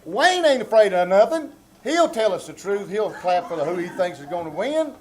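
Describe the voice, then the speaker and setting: A man's voice: sits high, big pitch swings, normal speed, a crowd in the background. A man acting a stubborn, cocky squabbler in live comedic banter on a small theater stage. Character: stubborn man insisting men are funnier. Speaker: troupe member. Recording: live theater with audible audience.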